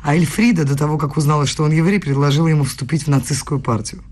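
An actor as a husky, challenging young scholar. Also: male voice, on the high side, fast talker, a little mellow, natural voice. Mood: probing. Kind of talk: radio play acting.

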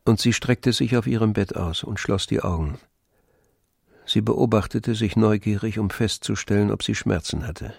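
A man narrating a literary radio play from a script in a measured, solemn manner. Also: lowish voice, creaky, slightly rough, dry recording, studio quality.

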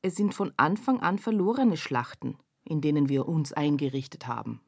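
A woman's voice: low-pitched, lively intonation, moderate pace, vocal fry, dry recording, clean studio sound, heavily acted. A woman acting as a sardonic observer in a literary radio play. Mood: urgent, sardonic. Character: sardonic female observer commenting on a panorama.

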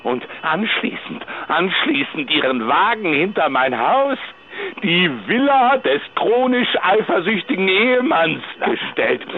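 A man acting in a German radio drama as an armed, jealous, grieving husband, theatrical, moving between soft mockery and threats. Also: a little high, phone-quality sound.

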